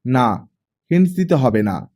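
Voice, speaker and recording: A man voicing a measured, matter-of-fact, skeptical father in an audiobook story. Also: mid-range voice, big pitch swings, darkish tone. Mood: curt. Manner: measured, pedantic, dismissive. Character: skeptical, matter-of-fact father, a pipe-smoking older gentleman. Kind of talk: audiobook character reading.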